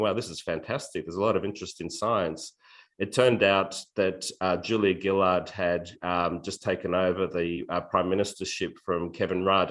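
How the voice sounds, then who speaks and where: Deep-voiced man giving an academic talk. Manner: measured, anecdotal, with frequent fillers. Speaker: neurology professor giving a lecture.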